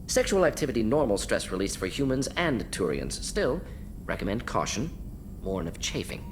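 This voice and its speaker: A man's voice: mid-range voice, normal speed, noisy background, close to an everyday voice. Man voicing a clipped, swooping alien scientist. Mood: clinical, matter-of-fact. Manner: clipped telegraphic phrasing, deadpan clinical delivery. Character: brisk, eccentric male alien scientist-doctor, dryly amused. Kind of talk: video game dialogue.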